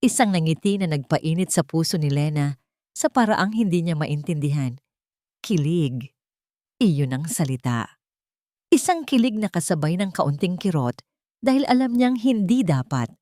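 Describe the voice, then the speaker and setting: A woman narrates a dramatic love story as a scripted audiobook. Her voice sits fairly low, and the sound is close and dry, with little room around it. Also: animated, normal speed, dark tone, no room sound.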